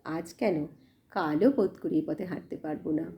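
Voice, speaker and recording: A woman voicing a gentle, determined, generous village woman in an audio story, kindly and resolute. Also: deep voice, moderate pace, dull audio. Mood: wry, weary.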